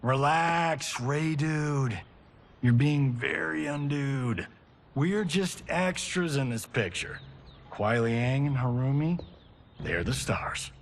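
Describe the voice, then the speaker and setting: An actor playing a cocky, laid-back Hollywood star in a video game, breezy, joking and slangy. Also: male voice, mid-range voice, slow, slight background noise. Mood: teasing. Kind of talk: casual banter in game cutscene. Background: faint music.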